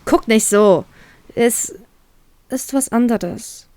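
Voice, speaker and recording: A woman's voice: fairly high pitch, takes it slowly, a little mellow, no echo. A woman voicing a shy, tearful, easily flustered young woman in a story, with a whiny, stammering delivery. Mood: flustered, defensive.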